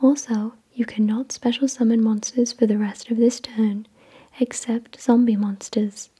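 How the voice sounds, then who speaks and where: A woman whispering in a breathy, unvoiced voice, reading in a gentle, measured ASMR style. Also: fairly high pitch, level tone. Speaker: ASMR host. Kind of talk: whispered ASMR card unboxing, scripted reading.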